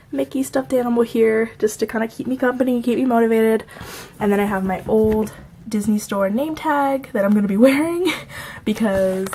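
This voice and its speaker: A woman's voice: on the high side, darkish tone, a little hiss or hum. A young woman vlogging in a casual, chatty way, laughing often and a little stuffy from a cold. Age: young adult. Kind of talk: casual chat to camera.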